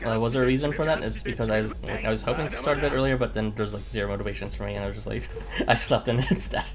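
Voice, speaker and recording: A young man, streaming a game and chatting casually with viewers in a halting way, full of fillers and little chuckles. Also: fairly low pitch, fairly even intonation, a crowd in the background. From Canada. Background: game music.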